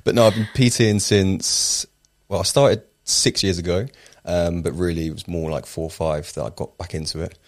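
A man from Tenerife working as a personal trainer, answering interview questions in a relaxed, rambling way. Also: low voice, moderate pace. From Tenerife, Spain. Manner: relaxed, rambling, with fillers and restarts.

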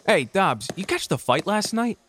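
A man playing an eager young soldier in a period audio drama, with excitable, folksy slang. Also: high voice, moderate pace, a little hiss or hum. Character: eager, rule-abiding young male soldier, boyish.

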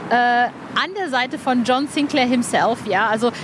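A woman interviewing casually for a podcast, halting and repeating words with a keen fan's excitability. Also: a little high. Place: in Berlin with street traffic audible. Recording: clear city traffic noise behind. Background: car traffic.

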